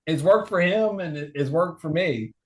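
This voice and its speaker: A man's voice: on the high side, moderate pace, darkish tone. A man in his forties, a podcast guest on a video call, telling stories in an earnest, rambling way. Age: 40s. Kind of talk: casual conversation on a video call. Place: home, remote video call. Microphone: video call microphone.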